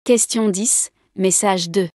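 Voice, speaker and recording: Female test narrator, scripted announcements, mid pitch, dry close recording. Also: slow, a little mellow, no echo.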